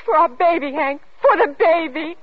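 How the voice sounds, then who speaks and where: An actress playing an anguished, pleading young pregnant wife in an old-time radio drama. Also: female voice, mid-range voice, expressive, normal speed, breathy edge. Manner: pleading, emotionally intense. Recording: noisy muffled vintage radio broadcast.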